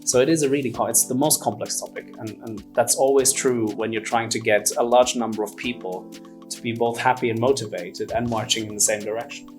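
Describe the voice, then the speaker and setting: A man's voice: medium pitch, fairly even intonation, fairly quick, soft background music. Articulate man from Germany and Finland, explaining. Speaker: podcast guest, entrepreneur. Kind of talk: conversational interview answers.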